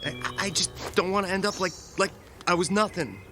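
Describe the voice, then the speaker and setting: An actor playing an anxious, plain-spoken army private in a radio drama, brooding and prone to stammer. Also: male voice, high-pitched, fairly quick, music in the background, natural voice. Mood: panicky. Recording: clear outdoor insect ambience.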